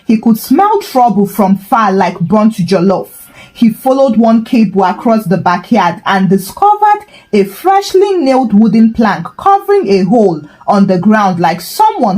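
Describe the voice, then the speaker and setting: A woman's voice: mid-range voice, darkish tone, low-quality recording. A woman storyteller giving a scripted, dramatic narration of a folktale.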